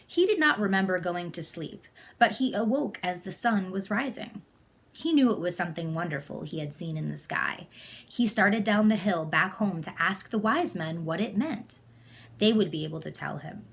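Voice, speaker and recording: A woman's voice: lowish voice, lively intonation, normal speed, vocal fry. Woman reading a storybook aloud. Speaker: storybook reader. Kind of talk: read-aloud story narration.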